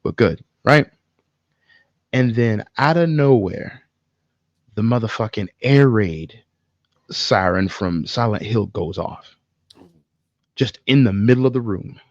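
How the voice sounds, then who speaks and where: A man co-hosting a podcast, telling stories in an animated, slangy, casual way with plenty of swearing. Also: fairly low pitch, slow pace, long pauses, no echo, lo-fi sound. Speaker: podcast co-host. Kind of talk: casual chat and storytelling.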